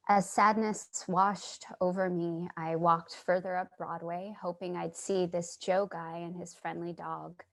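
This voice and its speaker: A woman playing a concerned, reflective passerby in a staged play reading, gentle and a little hesitant. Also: fairly low pitch, little pitch movement, a little slow, creaky, few pauses. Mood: wistful, reflective. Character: concerned female passerby narrating her encounters.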